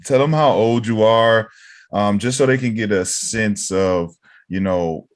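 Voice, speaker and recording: A man's voice: lowish voice, a little slow. A man hosting a podcast, introducing his guest in a rambling way with repeated phrases. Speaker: podcast host. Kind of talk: casual podcast interview.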